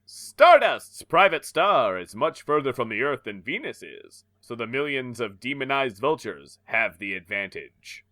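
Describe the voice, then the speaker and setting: A man's voice: mid-range voice, a little slow, hardly any pauses, no room sound. A man co-hosting a comedy podcast, reading a comic aloud and laughing at it between lines. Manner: animated, often laughing at the text. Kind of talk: comedic dramatic reading with banter.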